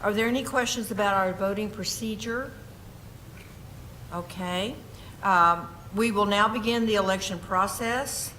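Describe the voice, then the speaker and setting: Woman, board chair, hoarse, losing her voice, throat-clearing, procedural, formal agenda reading, desk mic. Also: medium pitch, a little slow, sharp tone, slight background noise, poor audio. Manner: procedural, with frequent ums and self-corrections. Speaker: board chair presiding over a public meeting.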